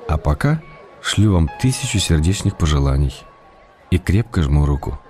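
Radio play role: courteous, teasing male writer, low register, measured letter reading over prominent music. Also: sing-song, mellow. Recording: radio drama with clear music behind.

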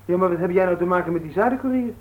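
Man as a high-voiced cheeky young student, tinny radio. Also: rapid-fire, lightly acted. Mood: curious, eager. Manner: cheeky, jocular. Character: cocky, playful student son, eager and curious. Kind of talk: 1960s Dutch radio play acting. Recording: narrow, tinny 1960s radio recording.

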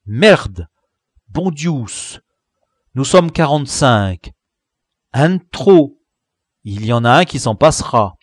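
A man's voice: mid-range voice, brisk, few pauses, fairly bright tone, no room sound, studio quality, natural voice. A man voicing an anxious boy who counts food shares aloud and swears in dismay.

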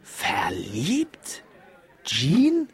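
An actor playing a gruff, troubled middle-aged father and boatman who likes his whisky, in a radio drama. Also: mid-range voice, huge pitch swings, extremely slow, heavily acted.

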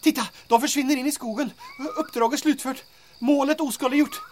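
A woman's voice: high-pitched, fairly even intonation, darkish tone, a little hiss or hum, close to an everyday voice. A woman playing a boastful, jokey young female sergeant and mechanic in an audio drama, bragging and using mock-military phrases. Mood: alarmed, urgent.

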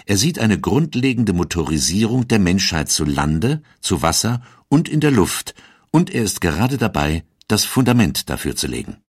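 A man reading scripted documentary narration in a measured, storytelling manner. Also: deep voice, very animated, slightly rough, no room sound, clean studio sound. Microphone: studio microphone.

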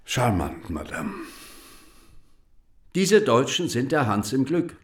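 Radio-play French writer-politician, sardonic orator, mid-pitched and very animated. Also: male voice, slow pace. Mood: bitter irony. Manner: rhetorical, ironic. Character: bitter, patriotic French writer and deputy, eloquent, sardonic.

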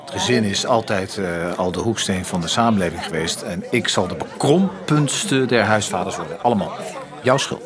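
An actor playing an opinionated, jesting politician with a declamatory, mock-solemn manner in a Dutch radio drama. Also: male voice, sits low, normal speed, a crowd in the background, lightly acted. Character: Onno, opinionated, pompous, jesting social-democrat politician and husband.

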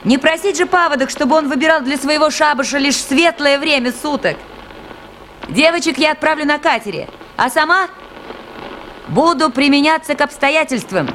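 High-voiced, resolute woman station chief. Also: lively intonation, moderate pace, a bit bright, a little hiss or hum, rough recording. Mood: composed, determined. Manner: firm, assured, businesslike. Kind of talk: Soviet radio play acting.

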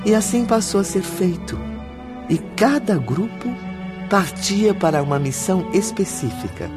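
A man's voice: high voice, lively intonation, measured pace, over music. A man giving scripted narration for a radio drama.

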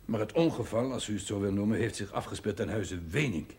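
A man's voice: fairly low pitch, fast talker, darkish tone, close to an everyday voice. A man acting in a television drama as a blunt, sardonic, outspoken village doctor. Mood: dry, sardonic. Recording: echoey hall, noisy old TV soundtrack.

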